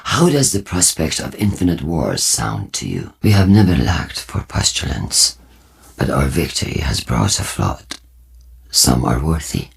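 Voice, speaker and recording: An actor voicing a solemn, prophetic war cult leader in a video game, speaking formally and deliberately with a husky voice. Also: male voice, extremely low pitch, very animated, full character voice. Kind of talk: scripted video game character dialogue.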